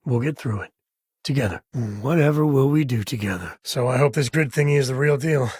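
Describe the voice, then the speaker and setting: An actor playing a rugged space-ranger father in a video game, with a husky, raspy voice and a wry, earnest, conversational manner. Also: medium pitch, a little fast, darkish tone, no room sound, clean studio sound, lightly acted. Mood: wry, steady. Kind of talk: video game character voice lines.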